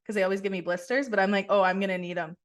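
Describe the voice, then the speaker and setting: Relaxed young woman rambling on a call. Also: mid-range voice, very fast. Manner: relaxed, rambling, many "like"s and restarts. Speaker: podcast co-host. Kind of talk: casual chat over video call. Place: kitchen at home. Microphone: video call.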